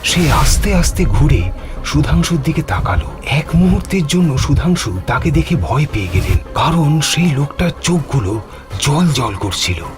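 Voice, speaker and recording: A man's voice: fairly high pitch, sing-song, normal speed. A man narrating a scripted horror story in a dramatic, suspenseful way. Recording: music behind the voice.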